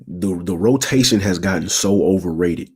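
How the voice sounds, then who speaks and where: Deep raspy young man, casual and slangy. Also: normal speed, darkish tone. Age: 20s. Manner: slangy, keeps asking "you feel me". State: sore throat. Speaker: channel host. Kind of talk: casual livestream talk to viewers.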